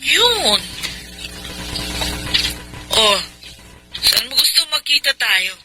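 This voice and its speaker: A woman's voice: high voice, sing-song, drawn-out words, background noise, heavily acted. A woman playing a callous, mocking mother who extorts money, in a Filipino radio drama, with a Filipino accent. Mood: brazen, demanding. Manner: mocking, flippant. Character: callous, taunting mother extorting ransom for her own child.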